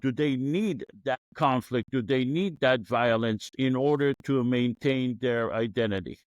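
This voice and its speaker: Male host, mid pitch, unhurried, dry close sound, probing questions. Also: dry recording. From Israel. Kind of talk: interview questions.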